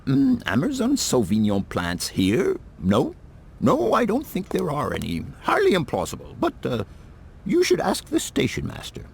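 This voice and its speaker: An actor playing a doddery, kindly older professor in a video game, musing and hesitant in a theatrical way. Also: male voice, fairly high pitch, wildly swooping pitch, measured pace, creaky, husky, full character voice. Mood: kindly, doubtful.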